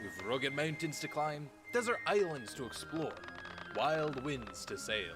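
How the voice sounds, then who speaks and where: A man playing a hearty, adventurous animal uncle reading out his letter in measured, jaunty phrases for a children's audio drama. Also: mid-range voice, very animated. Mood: jaunty, inviting. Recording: music behind the voice.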